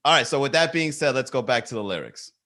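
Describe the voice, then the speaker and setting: Male comedy podcast host, bright forceful voice, animated riffing, some swearing. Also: medium pitch, fast talker. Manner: animated, swearing, rhetorical questions. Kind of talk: comedic riffing and lyric reading.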